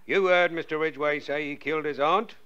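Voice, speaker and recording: An actor playing a brisk police inspector in a radio drama, official and commanding. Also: male voice, fairly high pitch, steady tone, a bit bright, natural voice. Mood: authoritative. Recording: slightly dull, lo-fi audio.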